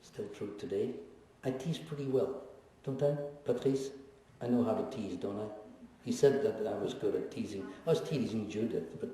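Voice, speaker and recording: A man in his seventies speaks in a mellow, darker voice, reflective and gently teasing, with many pauses. He is picked up from a distance, and the sound rings through a large echoey hall. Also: on the low side. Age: 70s. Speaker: guest speaker, Holocaust survivor. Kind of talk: personal testimony in a lecture Q&A. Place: lecture hall. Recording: echoey hall recorded from far off.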